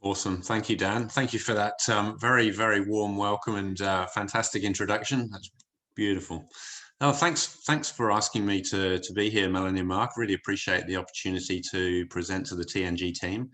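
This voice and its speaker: Low-voiced British man presenting online. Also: steady tone, a little fast, sharp tone. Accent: English with a slight New Zealand tinge. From United Kingdom. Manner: chatty, hesitant, many fillers and restarts. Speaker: wellness presenter. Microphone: video call.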